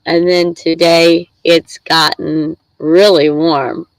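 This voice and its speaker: A congested middle-aged woman hosts a casual live stream, chatting and rambling to her viewers. She talks at a slow, easy pace with plenty of pauses. The sound is dry and close, with no room echo. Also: deep voice, flat delivery. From Michigan. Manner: chatty, rambling, reads chat aloud. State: congested with a head cold.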